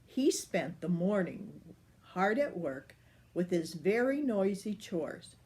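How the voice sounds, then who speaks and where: An older woman reading a children's picture book aloud. She takes her time, and her voice rises and falls a lot, which keeps the story animated. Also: lowish voice, slow.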